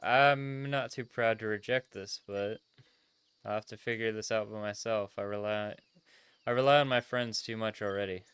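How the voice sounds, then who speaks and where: A man's voice: on the low side, steady tone, normal speed, fairly bright tone, smooth, natural voice. A man voicing an earnest, sociable but self-doubting young trainer in a visual novel, casual and hesitant. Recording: music behind the voice.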